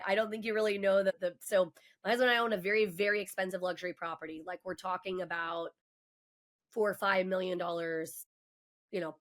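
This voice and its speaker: A woman in her forties, a guest on a podcast, telling her own story in a candid, emphatic and passionate way. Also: lowish voice. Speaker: mortgage professional. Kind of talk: casual podcast conversation.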